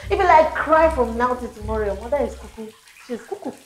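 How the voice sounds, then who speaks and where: A woman's voice: a little high, lively intonation, fast, a little hiss or hum, lightly acted. An actress playing a callous young woman vlogger who taunts and scolds in a mock-sweet, scornful way. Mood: scolding. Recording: echoey room with faint music.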